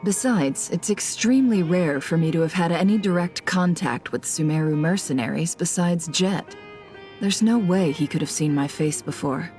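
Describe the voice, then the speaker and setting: A woman acting a low-voiced, composed female investigator. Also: animated, a little mellow, soft background music, natural voice. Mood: composed, confident. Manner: measured, polite, self-assured. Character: composed, shrewd female investigator, posing as a lost trader. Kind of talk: scripted video game voice acting.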